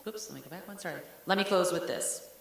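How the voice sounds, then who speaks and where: Low-voiced woman addressing a meeting. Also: quick pace, vocal fry. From Ohio, United States. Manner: candid, conversational, with fillers. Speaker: school district leader. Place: large meeting room. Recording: very echoey room with hiss.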